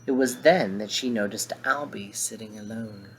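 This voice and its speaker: A man reading a picture book aloud to children, breaking off for gentle, conversational asides that explain the story. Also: lowish voice, normal speed, a little hiss or hum.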